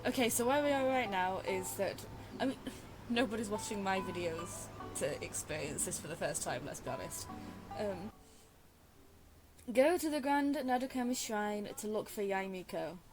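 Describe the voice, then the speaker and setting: A woman's voice: mid-range voice, animated, moderate pace, over music, rough recording. A woman giving casual, rambling, self-deprecating commentary over a game playthrough. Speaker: gaming video creator.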